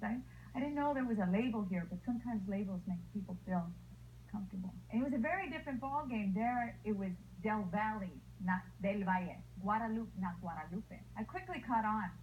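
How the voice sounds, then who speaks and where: A woman who was a TV news anchor and grew up in El Paso, Texas, telling a personal story with lively quoted voices. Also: medium pitch, phone-quality sound, slight background noise. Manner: lively, acts out the voices of others.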